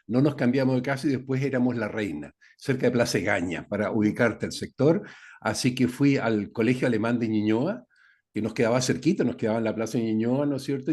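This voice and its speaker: A man's voice: medium pitch, darkish tone. Older Chilean man, rambling talk. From Santiago, Chile. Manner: rambling, with frequent fillers and "¿no es cierto?". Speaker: guest engineer. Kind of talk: conversational interview.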